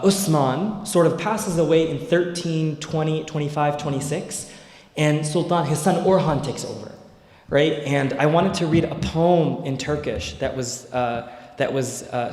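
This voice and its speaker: A young man gives a relaxed, rambling history lecture, leaning on fillers and tag questions to keep his audience with him. His voice sits a little high for a man. Long reverb from the large hall hangs behind every phrase. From Bay Area, United States. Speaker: Islamic scholar lecturing.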